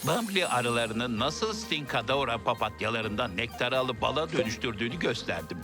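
A man's voice: mid-range voice, sing-song, moderate pace, music in the background, lightly acted. A man playing an earnest, well-meaning but exasperated king in a dubbed children's cartoon.

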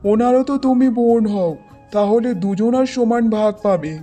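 A woman's voice: fairly high pitch, normal speed, warm tone, faint music behind, close to an everyday voice. A woman voicing a suspicious, grumbling village husband in a fairy-tale cartoon. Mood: resentful.

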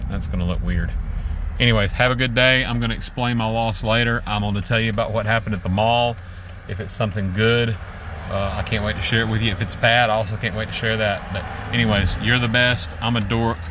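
A man's voice: fairly low pitch, fairly even intonation, brisk, a bit bright. A man, chatting casually and jokingly in a self-mocking, rambling way to his phone in a car. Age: 40s. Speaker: vlogger. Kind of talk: casual chat to camera. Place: inside a car. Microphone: phone at arm's length. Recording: noisy car interior, street ambience.

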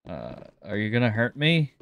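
A man's voice: lowish voice, wildly swooping pitch, moderate pace, vocal fry, a bit bright, dry recording, studio quality. A man improvising in a game roleplay, chatting in a hesitant, bemused manner. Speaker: roleplay streamer playing a police lieutenant.

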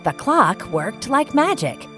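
A woman narrating a children's bedtime story in an expressive, clearly enunciated storytelling manner. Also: on the high side, takes it slowly. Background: background music.

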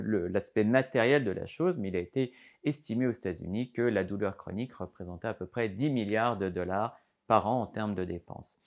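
A man's voice: mid-range voice, darkish tone. A middle-aged man, a doctor, explains a health topic to camera in a studio, gesturing as he talks, with frequent fillers and restarts. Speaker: doctor giving a health lesson. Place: studio before a green backdrop.

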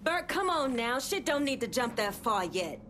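An actress playing a shrewd, poised female gang boss in a crime game, measured and persuasive. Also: a little high, very animated, fairly bright tone, a little hiss or hum. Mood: placating.

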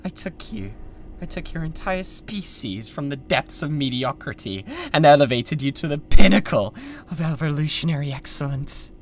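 A woman's voice: low-pitched, lively intonation, moderate pace, a little hiss or hum. A British woman playing an unhinged, condescending mad scientist with a god complex in a theatrical ASMR roleplay. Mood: contemptuous, menacing. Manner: theatrical, condescending, swinging between hushed and screaming. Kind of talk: dramatic ASMR roleplay monologue.

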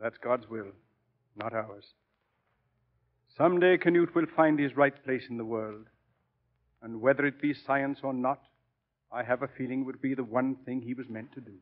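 An actor playing a kindly, wise priest and college mentor in a 1940s radio drama. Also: male voice, medium pitch, moderate pace, long pauses, lightly acted. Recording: tinny, narrow 1940 radio recording.

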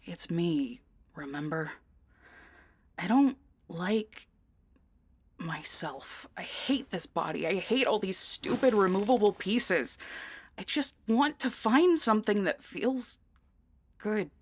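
A woman voicing a grouchy, jaded cartoon toy creature made of mismatched parts, husky and sardonic, blunt in her exasperation. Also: medium pitch, expressive, takes it slowly, long pauses, no room sound. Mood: weary, dejected. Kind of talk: animated cartoon voice acting.

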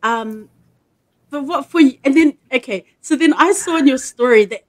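Upbeat New woman host, higher voice. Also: normal speed. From New Zealand. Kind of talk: casual video-call interview. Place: home room with dark curtain, indoors.

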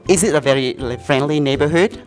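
A man's voice: a little high, a little fast, faint music behind. Young Vietnamese man presenting. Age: young adult. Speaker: host, English learning presenter. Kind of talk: casual interview and presenting. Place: indoor café-like open room. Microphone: handheld lavalier mic.

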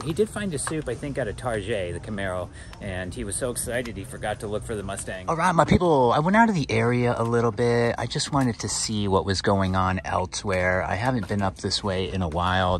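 A man's voice: fairly low pitch, expressive, a little fast, hardly any pauses, a little hiss or hum. An American man chatting casually to his viewers as a collecting vlogger, in a laid-back, slangy, rambling way. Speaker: toy car collecting vlogger.

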